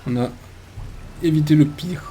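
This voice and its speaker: A man's voice: fairly high pitch, normal speed, dark tone, a little hiss or hum. A man hosting a gaming video with casual let's play commentary, chatty and hesitant as he thinks aloud.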